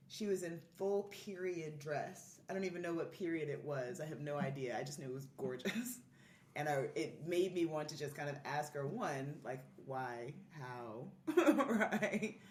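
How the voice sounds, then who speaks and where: A woman with a low voice tells stories in a casual, effusive way as a podcast guest. She sits a little away from the mic in a roomy space, with faint noise around her. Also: a little mellow, recorded from a distance. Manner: effusive, laughs easily. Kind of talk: casual conversational storytelling. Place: live in-person podcast recording in a room.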